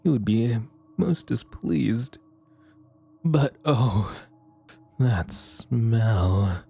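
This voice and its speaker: A man playing a sinister, gleeful vampire's minion in an audio roleplay, servile to his master, with a raspy, breathy, creepy delivery that savors each word. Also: mid-range voice, animated, warm tone, faint music behind, full character voice. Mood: hungry craving. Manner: slow, creepy, drawn-out, savoring words. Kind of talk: ASMR roleplay acting.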